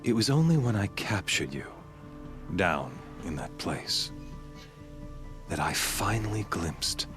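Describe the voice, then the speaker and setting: A fanatical champion of a sun cult in a video game. His voice is very low and he speaks slowly, with grand, ominous measure. Dramatic music plays clearly behind him. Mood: fervent, ominous.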